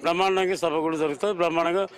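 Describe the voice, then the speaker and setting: A man's voice: fairly high pitch, steady tone, very fast. A man with a Telangana Telugu accent answering an interview as a local legislator, emphatic and repeating himself.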